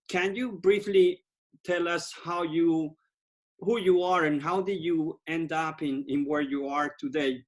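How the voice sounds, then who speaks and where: A man hosting his own podcast interview, introducing his guest with enthusiasm and repeating words for emphasis. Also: on the high side. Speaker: podcast host.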